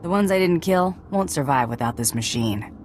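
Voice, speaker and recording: Blunt, low-voiced bounty hunter. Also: female voice, expressive, moderate pace, a little mellow, slight background noise. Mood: matter-of-fact. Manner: blunt, commanding. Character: tough, impatient, ruthless female bounty hunter. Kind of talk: video game cutscene acting.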